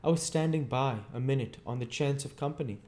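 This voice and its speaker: A man voicing a surly, sullen labourer in a storybook, with gruff, clipped rustic talk. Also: mid-range voice, fairly quick, mellow, pitched above the performer's own voice, lightly acted. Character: slouching, surly, sullen labourer Orlick, growling terse villager. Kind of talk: storybook character voice.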